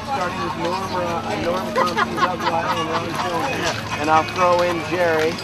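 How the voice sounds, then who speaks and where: A man with a fairly high voice talks off the cuff as a local show MC. He rambles and jokes, often halting. He is recorded outdoors, with music playing behind him. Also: a loud crowd. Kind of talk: casual interview chat outdoors. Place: outdoors at a park gravesite.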